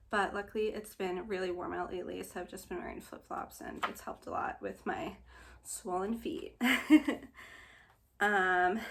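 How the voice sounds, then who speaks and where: Chatty young woman, slightly nasal. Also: medium pitch. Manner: chatty, laughs often, many fillers. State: a little short of breath. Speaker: vlogger. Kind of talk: casual pregnancy update vlog.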